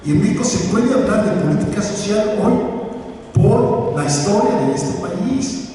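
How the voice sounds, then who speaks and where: A man lectures in a mellow, darker voice, drifting through fillers and half-finished phrases. He is picked up from a distance, and the sound rings with a long, hollow echo. A faint hiss sits under everything. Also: sits high, lively intonation, measured pace. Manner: rambling, many fillers and restarts. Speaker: guest lecturer. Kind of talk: academic lecture, conversational. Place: large stone courtyard. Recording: echoey courtyard, distant mic, faint noise.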